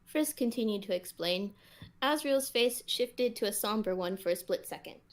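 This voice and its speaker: A woman's voice: mid-range voice, expressive, moderate pace. A woman on a podcast, reading scripted story narration and chatting casually with the group.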